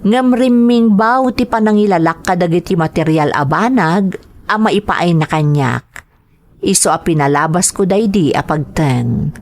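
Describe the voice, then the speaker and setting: A woman's voice: lowish voice, unhurried, darkish tone. A woman playing a meek, fearful young woman under an abusive lover's thumb in an Ilocano radio drama, pleading and stammering. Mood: sorrowful reflection.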